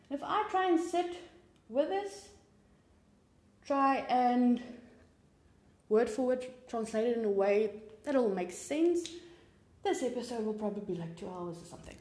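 A woman's voice: a little high, sing-song, a little slow, long pauses, warm tone. Chatty South African woman, echoey room. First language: Afrikaans. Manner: chatty, informal, rambling asides. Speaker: podcast host. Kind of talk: casual true crime podcast narration. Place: home room with papers on desk.

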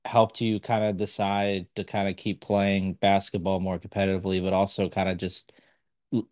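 A young man hosting a sports podcast, asking interview questions in a loose, hesitant way, with "kinda" and "like" throughout. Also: lowish voice. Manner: hesitant, loose phrasing with fillers. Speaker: podcast host.

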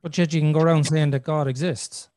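A man hosts a casual podcast, working his points out aloud with restarts and lots of "you know". His voice sits a little higher than most men's. The recording is dry and close, with no room sound. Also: fairly even intonation, a little fast, dark tone, dry recording. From Ireland. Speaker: podcast host. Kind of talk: casual conversational podcast chat.

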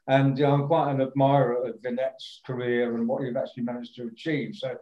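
A man's voice: medium pitch, level tone, fairly quick, roomy sound, dull audio. A man hosting a podcast who introduces his guest in a hesitant, friendly, unscripted way full of fillers. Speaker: podcast host. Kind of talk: casual interview.

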